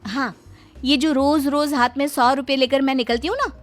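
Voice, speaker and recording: A woman's voice: sits high, fairly even intonation, normal speed, faint music behind, lightly acted. A woman playing a cheeky, stubborn young Indian daughter-in-law in a cartoon, with a pert, dramatic delivery. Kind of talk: Hindi cartoon story dubbing.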